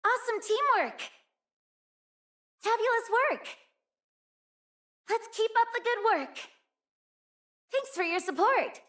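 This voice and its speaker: High-voiced, earnest young rescue heroine. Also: female voice, animated, slow pace, long pauses, a bit bright, exaggerated voice. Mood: encouraging. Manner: earnest, caring, polite. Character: earnest, gentle young female snow-rescue team member. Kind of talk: video game character voice lines.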